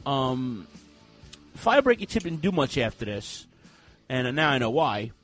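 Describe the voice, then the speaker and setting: A man co-hosting a casual wrestling podcast, talking in a rambling, animated way with many restarts. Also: medium pitch, unhurried, faint music behind. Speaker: podcast co-host.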